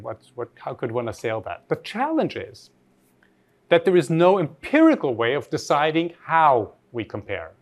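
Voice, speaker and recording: A man from Austria with as his delivers an academic lecture in a mid-pitched voice with very lively intonation. He speaks thoughtfully and off the cuff, halting and rewording his sentences as he reasons. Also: vocal fry. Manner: thoughtful, halting with frequent rephrasing.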